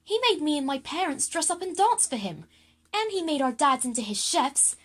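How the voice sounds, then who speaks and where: A woman's voice: sits high, very animated, moderate pace, a bit bright. A woman voicing a polite, earnest schoolchild in a children's audio drama.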